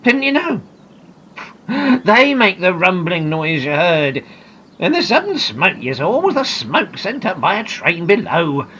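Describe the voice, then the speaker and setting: A woman voicing a calm, kindly grown man who patiently explains and reassures a frightened girl, with soft music behind. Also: fairly low pitch, measured pace, highs cut off, a little hiss or hum, voice pushed up. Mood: reassuring.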